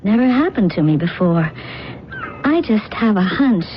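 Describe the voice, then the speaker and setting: A woman playing a gentle, curious young rescuer in a radio drama, speaking informally with some hesitation. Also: mid-range voice, sing-song, normal speed, natural voice. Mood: wondering. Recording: dull, slightly noisy vintage radio broadcast.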